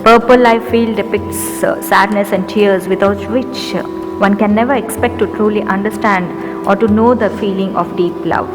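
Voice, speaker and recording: A woman's voice: medium pitch, steady tone. Indian woman, mid-range voice, English teacher and poet, earnest recital; long reverb, music bed. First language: Urdu. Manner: measured, earnest, slows down for verse lines. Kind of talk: poetry recitation with commentary.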